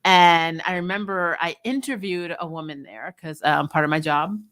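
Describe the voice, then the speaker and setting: A woman's voice: on the low side, normal speed, a bit bright. A woman hosting a casual, unscripted review show, talking bluntly and animatedly and acting out little quoted asides. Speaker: host of a TV episode review show. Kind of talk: casual unscripted review chat with viewer comments.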